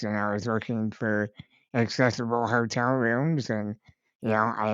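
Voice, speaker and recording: A man's voice: mid-range voice, a bit bright, smooth, no echo, dull audio. A man hosting a podcast, talking in a friendly, casual way with plenty of "you know" fillers. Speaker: podcast host.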